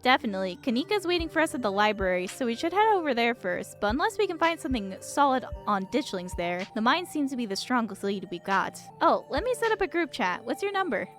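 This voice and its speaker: A woman's voice: on the high side, very animated, quick pace, few pauses, faint music behind. A woman voicing a chipper, easygoing young woman in a visual novel, breezy and chatty. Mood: upbeat, chatty.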